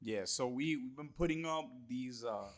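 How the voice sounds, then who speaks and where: A man's voice: mid-range voice, moderate pace. A man in his early forties co-hosting a podcast, laid-back with dry comebacks. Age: 40s. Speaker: podcast co-host. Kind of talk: casual podcast banter.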